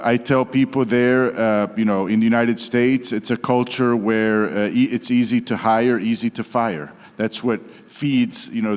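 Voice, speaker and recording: Low-voiced man, halting panel remarks. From Croatia. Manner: halting, with frequent "uh" and restarts, but blunt and opinionated. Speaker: politician on a panel. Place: conference hall. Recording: long reverb of a conference hall.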